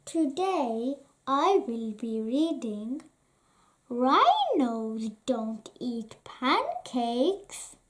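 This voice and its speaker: A children's story reader and channel host reading a bedtime picture book aloud in an expressive, deliberate way. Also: high-pitched.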